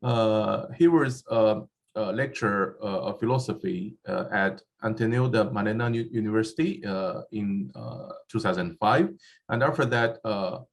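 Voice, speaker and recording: A man whose first language is not English chairs a seminar and introduces the speaker, halting often with fillers and restarts. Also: fairly low pitch, vocal fry. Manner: halting, many fillers and restarts, laughs at himself. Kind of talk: hesitant spoken introduction. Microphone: handheld or desk microphone.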